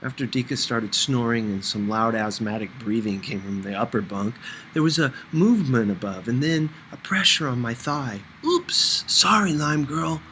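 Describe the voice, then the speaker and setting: Guy narrating a novel, medium pitch, bit of background noise on the recording. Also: moderate pace, fairly bright tone, low-quality recording. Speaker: audiobook reader. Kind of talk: scripted first-person novel narration.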